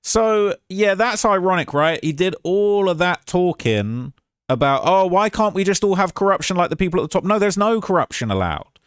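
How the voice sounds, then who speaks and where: A male host gives casual, mocking commentary. His voice sits a little high and swings widely in pitch, recorded very dry with no room around it. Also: expressive, normal speed, clean tone, no echo. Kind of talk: casual reaction commentary on news clips.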